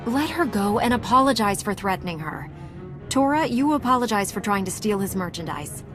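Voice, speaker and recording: A woman's voice: mid-range voice, animated, a little fast, lightly acted. A woman playing a commanding, level-headed heroine with dry wit in a video game. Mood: exasperated, commanding. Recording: music behind the voice.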